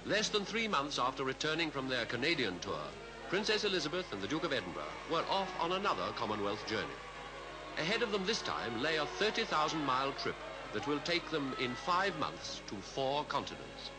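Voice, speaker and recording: A man with a British Received Pronunciation accent reading scripted 1950s newsreel commentary in a formal, measured announcer style. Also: mid-range voice, very animated, rough recording. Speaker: newsreel narrator. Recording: vintage 1950s newsreel soundtrack with music.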